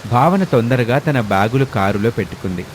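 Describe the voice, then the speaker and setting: A man's voice: medium pitch, expressive, a little fast, a little hiss or hum. A man reading a scripted story as its narrator.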